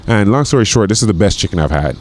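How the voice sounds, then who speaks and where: Deep-voiced man in his forties, rambling. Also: expressive, fast talker. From Grenada. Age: 40s. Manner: rambling, with many repeated words and fillers. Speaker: podcast guest, chef. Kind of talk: casual conversation.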